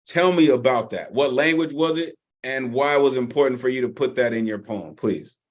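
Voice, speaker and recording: A man's voice: medium pitch, normal speed. Laid-back man hosting a video call. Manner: hype, shout-outs, fillers and restarts. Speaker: open mic host.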